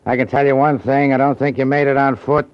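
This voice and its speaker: An actor playing a teasing, skeptical police sergeant in an old radio crime drama. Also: male voice, mid-range voice, steady tone, fast, natural voice. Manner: wry, skeptical. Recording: muffled old radio recording.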